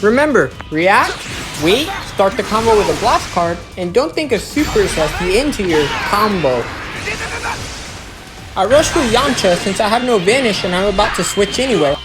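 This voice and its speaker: A woman's voice: mid-range voice, animated, moderate pace. A woman giving an instructional, step-by-step voice-over as the narrator of a gameplay guide. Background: music.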